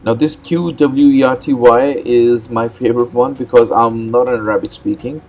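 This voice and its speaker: A man whose native tongue is Urdu walks viewers through a computer tutorial at a medium pitch. His explanations are relaxed and plain, often broken by "uh" and repeated words. Faint music plays underneath. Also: normal speed. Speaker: host. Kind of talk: step-by-step screen tutorial narration.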